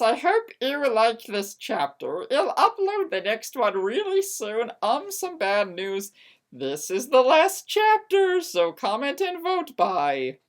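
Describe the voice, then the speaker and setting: A woman's voice: fairly high pitch, voice pushed up, full character voice. A woman voicing a gushing, scheming teenage fangirl in an amateur fanfiction reading, stammering eagerly. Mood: chirpy, teasing.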